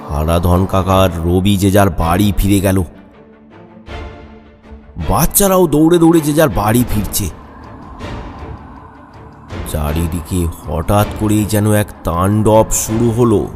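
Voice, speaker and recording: Slow, deepish male horror storyteller. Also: darkish tone. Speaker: narrator. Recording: music behind the voice.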